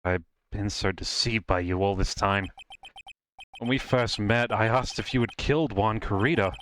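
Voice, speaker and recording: A man voicing an earnest, shaken defense lawyer in a game fan dub, halting, dramatic and indignant. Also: fairly low pitch, fairly bright tone, slight background noise, voice pushed down, natural voice. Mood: hurt, accusing. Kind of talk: live fan dub of a visual novel game.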